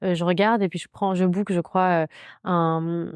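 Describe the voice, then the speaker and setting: Soft-voiced woman telling her story. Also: lowish voice, fairly even intonation, clean tone, dry recording. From France. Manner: halting, many fillers and restarts. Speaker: podcast guest. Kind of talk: candid personal storytelling.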